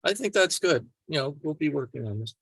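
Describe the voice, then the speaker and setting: A man's voice: fairly high pitch, lively intonation, a little fast, creaky, fairly bright tone, slightly rough, no room sound. A man taking part in a casual technical discussion in a meeting. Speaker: meeting participant.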